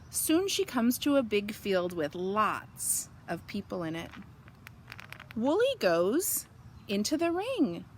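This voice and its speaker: A woman reading a storybook aloud for young children, pausing to explain and ask them simple questions. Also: fairly high pitch, slight background noise.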